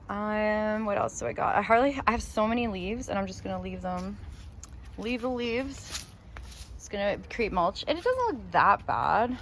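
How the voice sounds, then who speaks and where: A woman's voice: mid-range voice, animated, measured pace, long pauses. Chatty Canadian woman outdoors in her garden. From Ontario, Canada. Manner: chatty, rambling, gushes over plants. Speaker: gardening vlogger. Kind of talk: casual garden walkthrough. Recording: outdoor noise behind voice.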